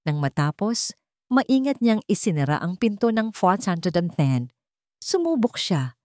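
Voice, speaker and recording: A woman's voice: mid-range voice, very animated, measured pace, a little mellow, no echo, studio quality. A woman reading scripted dramatic narration of a romance story.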